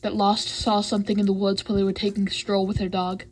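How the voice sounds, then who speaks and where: A woman playing a level-headed female leader in an audio roleplay, speaking in a measured, firm way with a husky, breathy voice. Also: mid-range voice, little pitch movement, darkish tone, a little hiss or hum, lightly acted. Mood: calm, firm.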